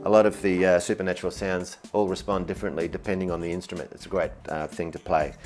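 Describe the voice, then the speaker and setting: A man's voice: low voice, flat delivery, quick pace. A man presenting a product demonstration in an upbeat, enthusiastic way. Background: music, demonstrated keyboard sounds.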